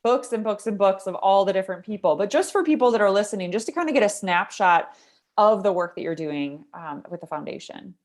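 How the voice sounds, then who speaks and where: A woman's voice: mid-range voice, animated, fairly quick. A woman hosting a podcast, asking long, rambling questions filled with "right?" and "you know.". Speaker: podcast host. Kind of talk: interview questions, casual chat.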